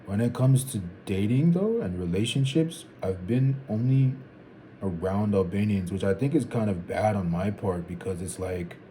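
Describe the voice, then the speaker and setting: A man in his twenties thinking out loud in a casual, rambling vlog, restarting sentences and dropping "like" and "bro". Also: on the low side, expressive, normal speed, a little hiss or hum, rough recording. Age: 20s. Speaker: vlogger. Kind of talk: casual reflective monologue.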